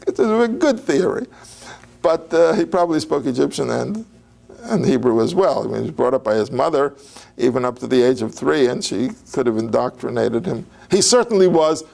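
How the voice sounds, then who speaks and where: A man's voice: mid-range voice, creaky, a little mellow. An older man giving an informal, digressive lecture on biblical history, talking conversationally with fillers and restarts.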